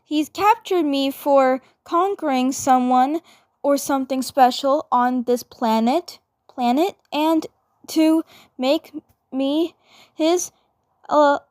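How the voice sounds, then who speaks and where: A woman performs a flustered teenage girl in a cartoon style. The voice sits high and stumbles over words with nervous hesitation. It is recorded dry. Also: darkish tone, no echo. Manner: stammering, hesitant.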